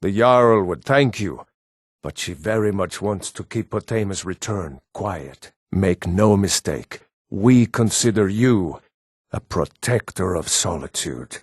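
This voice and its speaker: Nord townsman, fantasy game dialogue, low-pitched, earnest, measured, formal, dry recording. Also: lively intonation, breathy edge, no room sound, heavily acted. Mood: calm, formal. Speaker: video game voice actor.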